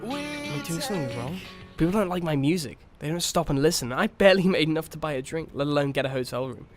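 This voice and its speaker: A man's voice: fairly high pitch, big pitch swings, quick pace. A man playing a mopey, anxious horse who dreams of music, rambling and sarcastic in an audio story. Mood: dejected, pondering. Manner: sighing, rambling self-talk, sarcastic. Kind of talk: cartoon-like audio story acting.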